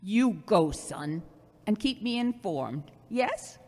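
A woman playing a devout, earnest older mother in an audio drama, measured and preachy. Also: mid-range voice, big pitch swings, a little mellow. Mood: earnest, instructive. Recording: long echoey reverb.

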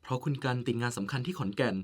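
A man's voice: medium pitch, expressive, rapid-fire, slightly rough. A man playing a caring, nagging, playful partner of an older man in an audio drama, polite yet chiding. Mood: concerned, chiding. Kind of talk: Thai audio drama acting.

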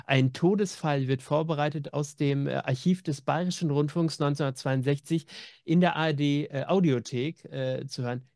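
A man's voice: fairly high pitch, fairly even intonation, normal speed, vocal fry, a little mellow, no echo, clean studio sound. A middle-aged man co-hosting a casual chat about new releases, talking in an enthusiastic, chatty way. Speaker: co-host. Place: home room with media shelves, over video call.

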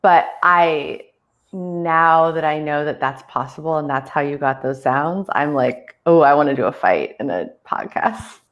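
A woman's voice: low voice, a little slow, dull audio. A woman talking casually as a theatre artist on a panel, speaking over a video call from a room at home.

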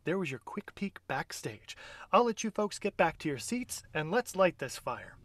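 Man, slightly higher voice, playful chatty host of a live audio play. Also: sing-song, normal speed, fairly bright tone, dry recording. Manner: playful, chatty, mock-whispering asides. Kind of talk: scripted pre-show narration. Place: closet or desk at home.

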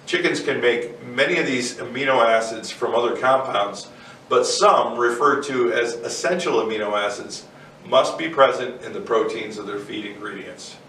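A man in his forties, seated co-host, giving a scripted educational explainer in a steady, measured way. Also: mid-range voice. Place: indoor studio set with barn-wood backdrop. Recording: roomy echo, distant mic, faint noise.

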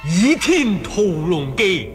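A man declaims radio drama titles in a high voice with big pitch swings. Loud music plays behind his dramatic recitation. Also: very animated, takes it slowly. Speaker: radio announcer.

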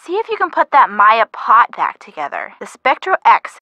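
An actress playing a helpful, nudging hint-giver in a mystery video game, chatty and encouraging with clear enunciation. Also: female voice, fairly high pitch, sing-song, normal speed, no echo, muffled recording. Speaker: game character giving hints to the player. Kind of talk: scripted video game dialogue.

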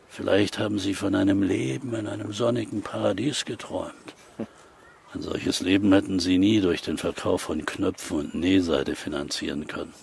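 A brooding, seasoned male investigator in a crime radio play. His low voice is extremely gravelly, and he ponders slowly and sceptically. There is faint noise behind him. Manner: deliberate, pondering.

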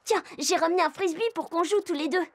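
A woman's voice: extremely high pitch, quick pace. A woman voicing a cheerful, giggly young female dog who hosts a cartoon. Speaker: host of the cartoon. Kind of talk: French cartoon dubbing. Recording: music behind.

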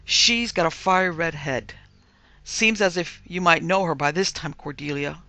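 A man voicing a peremptory, indignant middle-aged mistress of a household in an audiobook, with a sharp, demanding, folksy way of talking. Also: fairly high pitch, normal speed, dry recording. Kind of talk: audiobook character voice.

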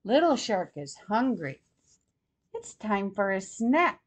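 A woman in her sixties reading a children's storybook aloud in a deliberate, expressive way for young listeners. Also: mid-range voice. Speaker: grandmother host reading picture books to children. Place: small plain room at home, seated in armchair.

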